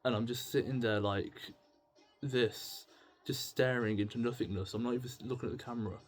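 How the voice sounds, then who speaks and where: A young British man talking casually to camera in a confessional vlog, rambling, halting and self-deprecating. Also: medium pitch, steady tone, soft background music. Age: young adult. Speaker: video blogger. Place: indoors at home with music on in the background.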